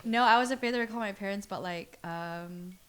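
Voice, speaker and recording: A woman's voice: mid-range voice, brisk, a crowd in the background. Young woman chatting casually. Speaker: podcast guest. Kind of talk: casual chat, personal storytelling.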